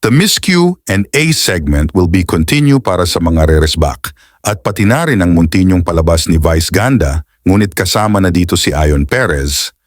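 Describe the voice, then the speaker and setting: A serious, commanding TV director acted by a man speaks in a deep voice for an audio drama. He is formal and direct, slipping into English, on a very dry recording. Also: animated, moderate pace, no room sound. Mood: businesslike. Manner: formal, mixing English into his speech.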